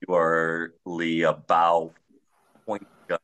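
A man's voice: low-pitched, completely flat delivery, slow pace, fairly bright tone. A man with a big voice, a police trainer speaking bluntly on a panel and telling stories from the job. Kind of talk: panel discussion.

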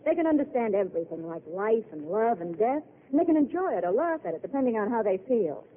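An eager, earnest young waitress, with a lively voice that moves up and down a lot as she talks. It comes through thin and tinny, like an old radio set with a faint hiss. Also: a little high, fast. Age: young adult. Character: dreamy, earnest young woman at a desert diner. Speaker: diner waitress. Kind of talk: 1930s radio drama acting.

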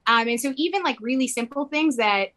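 A woman's voice: high-pitched, lively intonation, fairly quick, a bit bright. A young woman who grew up in Mexico, talking casually in an interview, rambling with lots of "like" fillers. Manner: rambling, heavy use of "like" fillers.

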